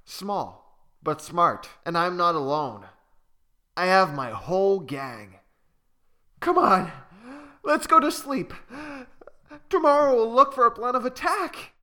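A man's voice: sits high, big pitch swings, slow pace, pauses often, bright, forceful tone, voice pushed up, full character voice. A man voicing a small, brave, clever male weaver bird who talks eagerly and firmly. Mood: confident. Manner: eager, encouraging and firm. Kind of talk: storybook reading.

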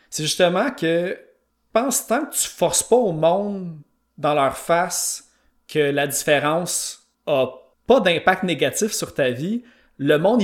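A man with a Québécois accent interviewing over a video call, relaxed and colloquial with stumbling restarts. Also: fairly high pitch. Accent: Québécois French.

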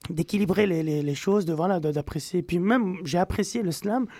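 A man's voice: sits high, a little fast, darkish tone, dry recording. A man hosting a radio show, bantering and teasing his guests. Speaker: radio host. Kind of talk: casual radio chat.

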